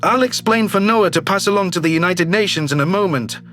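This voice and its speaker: A man's voice: sits high, a little fast, a bit bright, soft background music, pitched below the performer's own voice. A man voicing a brash, slick alien governor who talks with glib self-assurance, in a sci-fi audio drama. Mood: smug, pragmatic. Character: brash, slick alien politician, newly elected male governor. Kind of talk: audio drama character reading.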